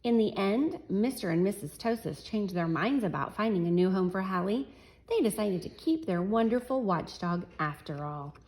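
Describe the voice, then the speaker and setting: A woman teacher reading a picture book aloud to children, animated and chuckling, pausing to talk to her class. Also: mid-range voice, slightly rough, rough recording. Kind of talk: children's read-aloud storytime.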